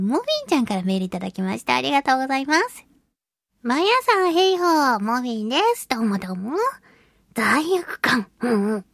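A woman's voice: on the high side, no echo, studio quality. A woman hosting a casual solo radio show, chatting expressively and giggly as she reads and responds to listener mail. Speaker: radio show host, voice actress.

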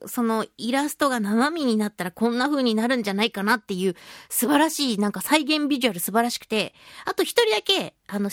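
A woman talks as a radio host in a casual, expressive monologue. Her voice is high and swings widely in pitch as she recounts things. The sound is dry, with little room in it. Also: no room sound. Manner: animated, expressive, acts out reactions and quotes. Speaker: radio show host, voice actress. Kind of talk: casual monologue talk, recounting a film outing.

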